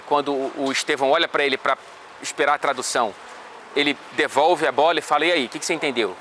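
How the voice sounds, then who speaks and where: A man's voice: fairly high pitch, sharp tone, slight background noise. A man with a Brazilian accent casually breaking down a football match for his vlog, chatty and loose with slangy asides. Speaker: football reporter.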